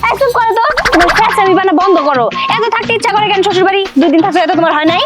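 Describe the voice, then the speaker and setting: An actress plays a bossy married daughter in a Bangladeshi family drama. Her voice is extremely high and she rattles along with impatience, scolding as she goes. Loud music runs over the dialogue. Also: racing. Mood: bossy, annoyed. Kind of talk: Bengali family comedy drama acting.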